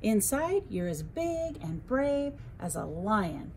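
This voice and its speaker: A woman voicing a gentle, reassuring mother lightning bug in a storybook. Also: medium pitch, very animated, slow, slight background noise. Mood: tender, encouraging.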